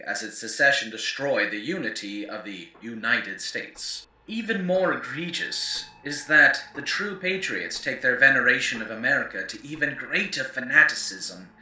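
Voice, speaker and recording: A man's voice: medium pitch, sing-song, normal speed, hardly any pauses, bright, forceful tone. A man playing a wry, opinionated post-apocalyptic radio host, narrating scripted lore in a theatrical, emphatic lecturing way. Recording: roomy, distant mic, faint background noise.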